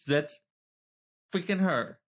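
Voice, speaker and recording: A man's voice: a little high, slow. A man chatting and joking casually as a player in a tabletop roleplay game.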